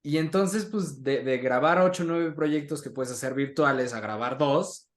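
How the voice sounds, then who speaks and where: A man's voice: medium pitch, moderate pace. A Mexican man hosting a casual conversational interview in an informal, chatty way. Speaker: interview host.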